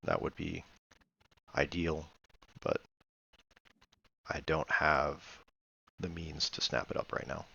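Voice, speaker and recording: A man with a low voice talks through a replay of a board game in a casual, matter-of-fact manner. He thinks aloud with hesitant pauses, and his voice is gravelly with plenty of fry. The audio is slightly dull and lo-fi. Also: little pitch movement, sharp tone. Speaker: board game player reviewing own replay.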